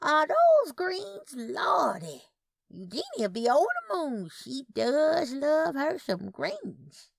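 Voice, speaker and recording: A woman's voice: high voice, wildly swooping pitch, slow pace, gravelly, no room sound, clean studio sound, voice pushed up, full character voice. A woman voicing a chatty, fretful, tiny elderly twin sister with a Southern US accent in an audio story. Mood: delighted, folksy. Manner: chattering, folksy. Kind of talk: audio fiction character dialogue.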